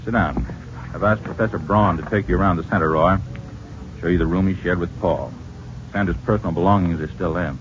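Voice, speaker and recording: An actor playing a sober, authoritative American official, businesslike and earnest, in an old-time radio drama. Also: male voice, deep voice, brisk, lightly acted. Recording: muffled, faintly noisy old radio recording.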